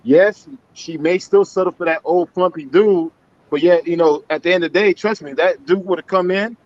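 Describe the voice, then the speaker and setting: A man's voice: high-pitched, normal speed, a little mellow. A man from Haiti chiming into a casual group chat, emphatic and repeating words as he cuts in. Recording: dull lo-fi call audio, very dry.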